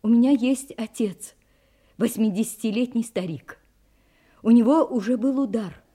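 A woman's voice: a little high, moderate pace, dark tone, husky. An actress playing a guarded, dignified young female suspect in a radio play, speaking with reserve and resolve. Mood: guarded, resolute. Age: young adult.

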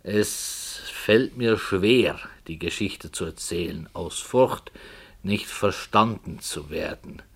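A man playing a brooding writer in a radio play, solemnly and hesitantly telling a dark tale with earnest, dramatic emphasis. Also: low-pitched, vocal fry, husky, heavily acted. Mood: intense, solemn. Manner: slow, hesitant, earnest, dramatic swells and hushes. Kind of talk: 1960 German radio play, literary storytelling.

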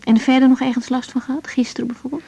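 A woman's voice: sits high, flat delivery, racing, creaky, mellow, husky, full character voice. An actress playing a tired, caring young woman doctor in a naturalistic radio drama, plain and matter-of-fact. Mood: calm, reassuring. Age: young adult.

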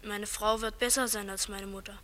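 An actress playing a thoughtful schoolboy in a 1950s radio play, earnest and soft-spoken. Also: female voice, mid-range voice, steady tone, brisk, natural voice. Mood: musing. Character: thoughtful schoolboy dreaming of a better future.

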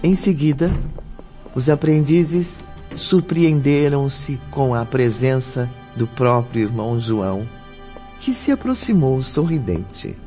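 Very mellow Brazilian male narrator. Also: mid-range voice, expressive, takes it slowly. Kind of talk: scripted radio drama narration. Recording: dull lo-fi, faint music.

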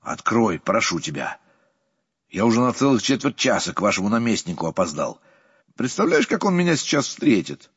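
A man's voice: fairly high pitch, big pitch swings, a little slow, few pauses, slightly rough, lo-fi sound, voice pushed up, full character voice. A man doing a blustering outraged Soviet official. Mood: pleading. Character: indignant pompous Soviet official, middle-aged man.

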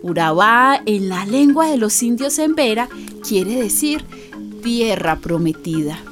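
A woman's voice: fairly high pitch, lively intonation, slow pace, loud music behind the voice. A woman playing an earthy, impassioned storyteller with a Colombian accent, narrating a radio drama expressively and speaking directly to listeners. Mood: warmly explaining.

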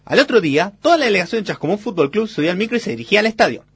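A man's voice: sits high, animated, racing, a bit bright, breathy edge, no room sound, exaggerated voice. A man with an Argentine accent as a mock-epic storyteller, reading scripted narration for a comic radio sketch. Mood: mock-solemn.

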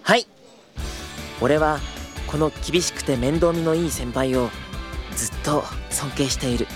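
Young man playing an earnest trainee, fairly high voice, polite and flustered, faint music behind. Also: a little slow, lightly acted. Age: 20s. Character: earnest young male new employee. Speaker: trainee tour guide, narrator and lead. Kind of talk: audio drama narration and dialogue.

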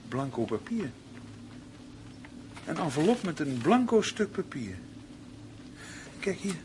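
An actor playing a tense, evasive husband in a radio play, with a husky, hesitant, placating way of talking. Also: male voice, a little high, very animated, slow, noisy background. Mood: placating, dismissive. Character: tense, evasive husband taking a nervous woman away. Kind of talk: Dutch radio play acting.

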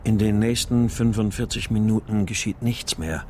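A man's voice: lowish voice, normal speed, dark tone, slight background noise, natural voice. An actor playing a grim, determined father searching for his child, narrating and speaking tersely in a crime radio play. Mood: tense, restrained. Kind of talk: scripted first-person narration and dialogue in a crime audio drama. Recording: music behind the voice.

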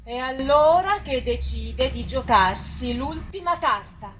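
A woman's voice: high voice, expressive, slow, a bit bright, a little hiss or hum, full character voice, rough recording. A woman acting as a grave, ominous storyteller in a theatrical historical tragedy. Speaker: narrator. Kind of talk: dramatic stage narration. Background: live music.

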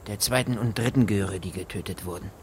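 Actor as low-voiced gruff rural gamekeeper. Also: male voice, fairly quick, noisy background, close to an everyday voice. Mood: blunt, callous. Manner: clipped, offhand, colloquial phrasing. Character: gruff, evasive rural gamekeeper, son of a poacher. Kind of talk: German radio drama acting.

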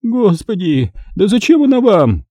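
A man's voice: high-pitched, very animated, a little slow, dark tone, no room sound, pitched above the performer's own voice, exaggerated voice. A man voicing a frightened, wheedling older woman crook in an audiobook, wailing and flustered as she pleads. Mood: exasperated, moaning.

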